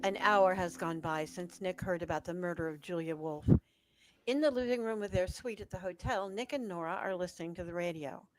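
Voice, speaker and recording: A woman's voice: lowish voice, a little fast, faint music behind, low-quality recording. A woman announcer reading scripted narration and sponsor copy for a radio drama.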